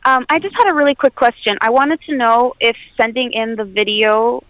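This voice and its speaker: A woman calling in to a radio show, polite and quick, a bit defensive when teased. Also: a little high, steady tone, fairly bright tone, dry recording, low-quality recording. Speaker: caller.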